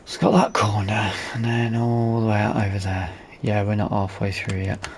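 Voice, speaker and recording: A British man giving casual, unhurried let's-play commentary, thinking aloud with chatty tag questions. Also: monotone. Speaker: gaming video host. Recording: engine rumble and noise behind voice. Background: farming game vehicle sounds.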